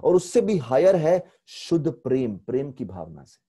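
Indian male spiritual teacher in his 40s, mellow tone, lively storyteller, mixes English into Hindi, earphone mic. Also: medium pitch, measured pace, breathy edge. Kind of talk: online spiritual lecture. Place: temple room indoors. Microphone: earphone headset mic on video call.

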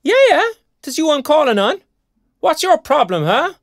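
A young man from an audio drama, with a Cork accent and a lively, swinging delivery. He is colloquial and sardonic, with a short fuse. The sound is dry. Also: very high voice, moderate pace, no room sound, exaggerated voice. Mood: angry shouting. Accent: Irish, County Cork. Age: young adult. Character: young Irish graduate, restless, sarcastic, hot-tempered protector. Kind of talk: naturalistic audio drama acting.

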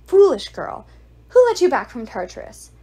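A woman's voice: fairly high pitch, very animated, moderate pace, a little mellow. A woman reader voicing an arrogant, sneering male ghoul, the ghost of a suitor, talking with mocking contempt. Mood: furious.

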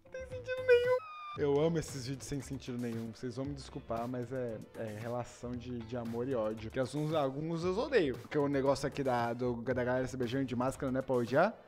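A man's voice: mid-range voice, fast talker, over music. A man in his thirties with a Brazilian Portuguese accent, hosting a casual video reaction show and laughing often. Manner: laughs often, animated and playful. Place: small decorated room indoors. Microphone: furry-windscreen mic in front of him.

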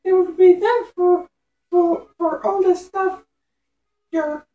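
Sweet young cartoon hedgehog girl, played by a man, falsetto, slow delivery, muffled roomy recording, game parody. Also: steady tone, soft background music. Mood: sweet, puzzled. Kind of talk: amateur game parody voice acting.

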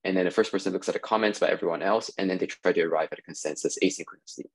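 A man giving a recorded conference talk over slides, measured and explanatory, with many "uh" fillers, on a webcam mic. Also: deep voice, slightly rough. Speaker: industry researcher giving a talk.

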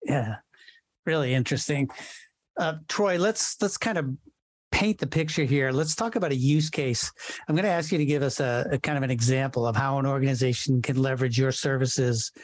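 Guy interviewing, middle voice, dry sound, kinda halting. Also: medium pitch, no echo. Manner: halting, hedging phrasing. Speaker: host, interviewer. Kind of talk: interview questions.

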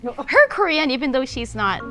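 High-voiced woman guessing on a game show, super animated, laughing a lot, music behind. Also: normal speed. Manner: giggly, expressive. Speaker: guesser, contestant.

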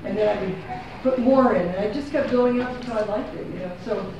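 A woman photographer chats through her work in a rambling, self-correcting way. She is picked up from across a small, very echoey gallery room with no microphone. Steady background noise sits under her voice. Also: medium pitch, fast talker, far from the mic, lo-fi sound. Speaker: photographer giving a gallery talk. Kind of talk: casual unscripted talk. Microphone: far room camera, no microphone.

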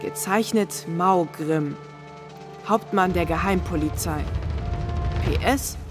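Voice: medium pitch, slow, lightly acted. An actor playing a sensible, polite older boy who leads his siblings in a German audio drama. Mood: concerned. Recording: music under the voice.

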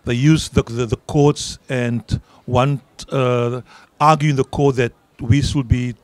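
Middle-aged man, Afrikaans accent, union leader, emphatic, medium pitch. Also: fairly even intonation, takes it slowly, dry recording. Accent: South African Afrikaans. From South Africa. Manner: earnest, emphatic, repeats words when stressing a point. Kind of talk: interview answers at a public event. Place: outdoor garden event. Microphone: handheld mic.

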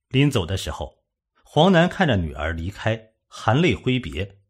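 A man reads a suspense novel aloud as a dramatic narrator. His voice sits fairly low and has a rough, husky grain. The delivery is solemn, with extremely animated rises and falls in pitch. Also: unhurried. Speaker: audiobook narrator.

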